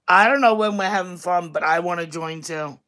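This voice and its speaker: A woman with a thick Staten Island New York accent and a deep voice, chatting bluntly and casually to viewers on a livestream, laughing often. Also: a little slow, fairly bright tone. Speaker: YouTube vlogger.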